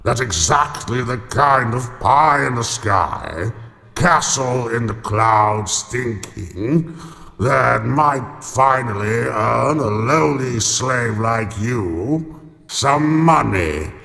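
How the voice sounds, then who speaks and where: An actor playing a grumpy, domineering giant master with a pompous, scornful drawl in an audio pantomime. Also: male voice, medium pitch, expressive, slow, vocal fry, bright, forceful tone, heavily acted. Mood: greedy, dismissive. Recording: long hall-like reverb.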